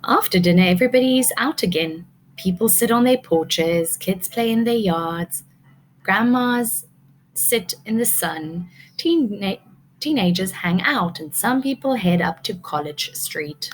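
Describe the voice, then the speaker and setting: A woman's voice: mid-range voice, lively intonation, measured pace, a little hiss or hum, rough recording. A woman teacher reading a picture book aloud to young children, expressively, prompting them with questions.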